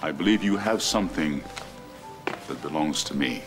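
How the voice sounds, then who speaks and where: A man's voice: a bass voice, normal speed. An actor playing a stern, commanding male intelligence agent in a film drama. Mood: stern, controlled. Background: music.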